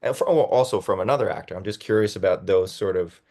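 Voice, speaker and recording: Male, low-pitched, actor in casual panel talk, tentative, feeling for words. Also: quick pace, dark tone. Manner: hesitant, searching for words. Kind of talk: casual discussion feedback.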